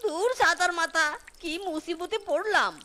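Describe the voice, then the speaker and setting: A performer voicing a henpecked male cartoon fox, whiny and cheeky, exclaiming and complaining in a squeaky voice. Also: normal speed, bright, forceful tone. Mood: miserable, complaining. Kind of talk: animated cartoon dialogue. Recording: music behind the voice.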